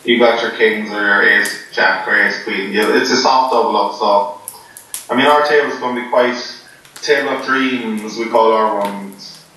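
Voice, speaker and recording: A man being interviewed before a poker game, joking in a deadpan, rambling way with many ums. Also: lowish voice, measured pace. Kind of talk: joking casual chat. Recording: echoey room, voice from far off, faint noise.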